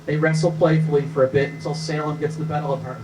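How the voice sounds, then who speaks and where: A man's voice: a little high, level tone, fast. A man reading scripted stage directions as narrator at a live table read, recorded from a distance with some noise.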